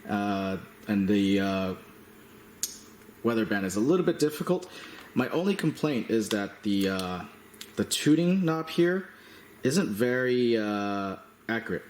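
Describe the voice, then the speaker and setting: A man giving a casual hands-on product demonstration as a reviewer, speaking in a halting, matter-of-fact way. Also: on the low side, lively intonation, darkish tone. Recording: roomy echo, slight background noise.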